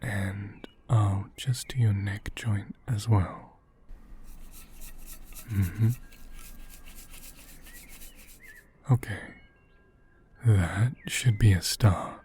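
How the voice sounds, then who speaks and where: A man performs a tender, caring male caretaker in an ASMR roleplay, soothing a fragile doll. His voice is low and mellow, soft and often whispered. He speaks very slowly, with careful, reassuring hesitation. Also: fairly even intonation, husky, exaggerated voice. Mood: gently concerned.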